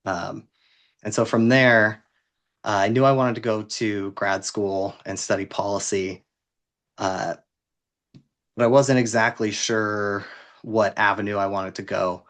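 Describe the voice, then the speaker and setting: A man's voice: on the low side, fairly even intonation, unhurried, pauses often, fairly bright tone. A man in his thirties from the US Pacific Northwest, a guest on a podcast, talking with self-deprecating humor. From Vancouver, Washington, US. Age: 30s. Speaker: interview guest, city housing worker. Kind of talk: conversational interview.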